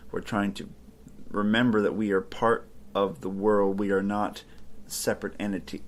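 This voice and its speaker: A man hosts a philosophy podcast in a low, easygoing voice. He thinks out loud as he goes, with plenty of "uh"s and false starts, and keeps his tone conversational rather than preachy. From Ohio, United States. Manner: relaxed, thinking aloud with frequent fillers and restarts. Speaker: podcast host. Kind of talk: casual podcast commentary on philosophy.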